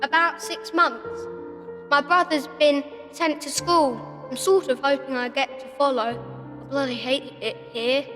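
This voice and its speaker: High-voiced, kind workhouse boy. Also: steady tone, unhurried. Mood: glum. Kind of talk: radio drama acting. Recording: echoey room, music behind.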